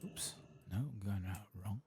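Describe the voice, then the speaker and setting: A man giving soft-spoken, sometimes whispered ASMR gameplay commentary, murmuring and musing as if to himself. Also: on the low side, a little slow, slight background noise. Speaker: game streamer.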